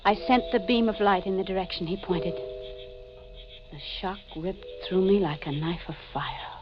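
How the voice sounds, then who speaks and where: A woman's voice: medium pitch, moderate pace, lightly acted. An actress playing a frightened, desperate woman who narrates her own mystery in dramatic 1940s radio style. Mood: tense, haunted. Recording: muffled 1940s radio recording. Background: dramatic music.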